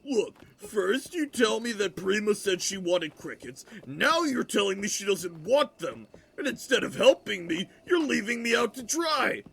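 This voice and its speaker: A man's voice: high voice, big pitch swings, gravelly, a bit bright, faint music behind, full character voice. A man playing a stout, obsessive lovesick villager in a fantasy audio drama, whining and pleading. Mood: indignant pleading. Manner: whiny, pleading, drawn-out delivery.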